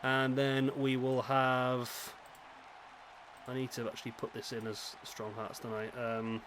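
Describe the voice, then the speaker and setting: Slightly raspy man commentating a game. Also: mid-range voice, monotone, measured pace, noisy background. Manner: chatty, thinks aloud and corrects himself. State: rough, slightly raspy throat. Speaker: host commentating a simulated wrestling league. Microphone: headset microphone.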